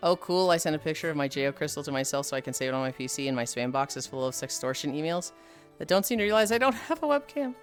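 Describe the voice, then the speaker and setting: A tired woman in her thirties who chats loosely and sardonically, sighing between thoughts and swearing without fuss. Her voice sits a bit low but bounces up and down a lot. Faint music plays in the background. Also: lively intonation, a little fast. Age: 30s. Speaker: livestreamer. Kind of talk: casual stream chat.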